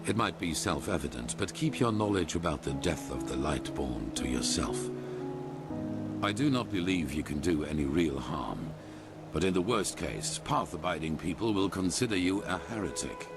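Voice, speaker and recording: An actor playing a solemn, imperious older leader of a religious order in a fantasy game, speaking in a measured, formal way. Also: male voice, sits low, lively intonation. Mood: stern, dismissive. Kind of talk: scripted fantasy video game dialogue. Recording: loud music behind.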